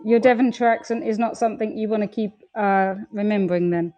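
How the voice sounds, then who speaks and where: Medium-pitched woman in casual chat. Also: steady tone, brisk, highs cut off, a crowd in the background. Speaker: livestream panelist.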